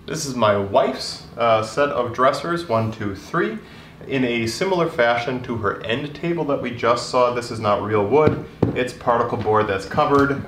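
A man in his thirties showing round his bedroom in a relaxed, chatty way, with frequent ums and restarts. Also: mid-range voice, normal speed, recorded from a distance, noisy background. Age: 30s. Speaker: woodworking vlogger. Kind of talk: casual walkthrough. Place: bedroom with wood floor. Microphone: camera at a distance. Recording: roomy, from off-mic.

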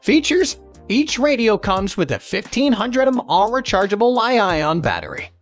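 Higher-pitched man narrating a scripted product review. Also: big pitch swings, a little slow, faint music behind.

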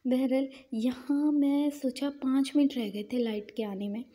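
A young woman narrating her day in a chatty, unhurried voice-over, with small fillers and pious asides. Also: sits high, fairly even intonation, warm tone. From Pakistan. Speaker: vlogger.

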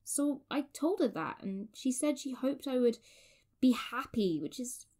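An actress playing a sheepish, wry young bachelorette in an audio drama, talking casually with dry, hesitant delivery. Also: female voice, high-pitched, animated, unhurried, darkish tone. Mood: rueful. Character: sheepish, wry young bachelorette confessing her love life.

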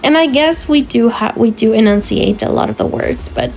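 A woman's voice: on the high side, normal speed, a little mellow. A Spanish-speaking woman from Colombia, chatting casually as a commentator, frank and a little self-deprecating. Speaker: speedrun commentator. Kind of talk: casual chat.

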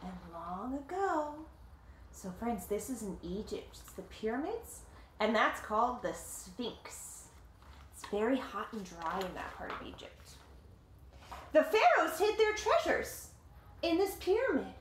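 A woman in her thirties reading a picture book aloud to children like a teacher, with dramatic, deliberate phrasing and simple explanations, recorded in a living room. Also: high-pitched, slight background noise. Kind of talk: expressive storybook read-aloud with explanatory asides. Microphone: camera or phone a short distance away. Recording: roomy home echo.

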